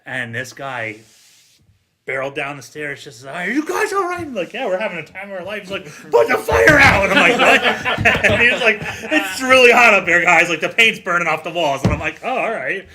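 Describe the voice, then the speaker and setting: Man with a very bright, forceful voice. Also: high voice, sing-song, normal speed. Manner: profane, quotes dialogue in banter. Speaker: firefighter and podcast panelist. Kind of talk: casual chat, animated storytelling.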